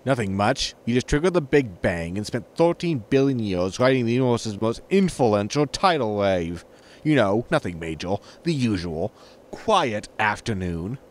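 A man's voice: fairly low pitch, sing-song, moderate pace. A man playing an exasperated, sarcastic spaceship captain in a science fiction comedy audio drama. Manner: dry, sarcastic.

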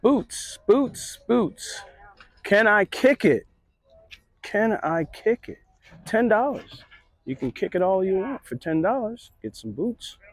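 A man's voice: high-pitched, slow, no echo. Chatty man strolling an outdoor market. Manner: relaxed, chatty, pointing things out. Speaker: vlogger. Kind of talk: casual walking commentary. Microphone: handheld phone camera.

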